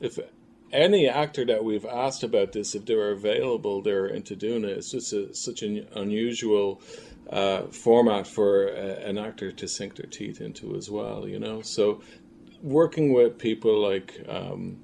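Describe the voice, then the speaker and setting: A man speaking as a creative guest in a casual interview, halting and thoughtful as he searches for his words. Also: lowish voice, steady tone, dark tone. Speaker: filmmaker and audio drama creator, interview guest. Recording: clearly noisy room ambience.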